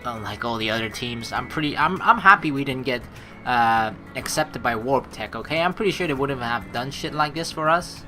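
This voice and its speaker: A woman doing chatty, slangy let's play commentary and reading game story text aloud with expressive reactions. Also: a bass voice, moderate pace, faint music behind.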